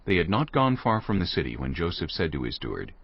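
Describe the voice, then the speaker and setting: A man's voice: deep voice, sing-song, fast, no echo. A man narrating scripture from a script as storyteller in a dramatized audio production. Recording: slightly dull studio recording.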